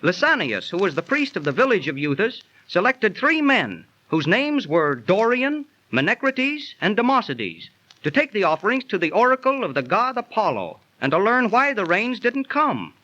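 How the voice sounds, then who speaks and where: An actor playing a breezy, good-natured know-it-all hobo in a slangy, explanatory 1930s radio drama manner. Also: male voice, sits high, huge pitch swings, normal speed, pushed and bright, heavily acted. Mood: storytelling. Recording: muffled 1930s radio transcription.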